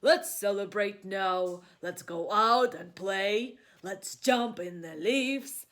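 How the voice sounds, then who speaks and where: Woman voicing a slow, emphatic storybook bear. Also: mid-range voice. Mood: exuberant. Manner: loud, emphatic, drawn-out delivery. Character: big friendly storybook bear, male, grateful and exuberant. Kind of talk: children's storybook read-aloud.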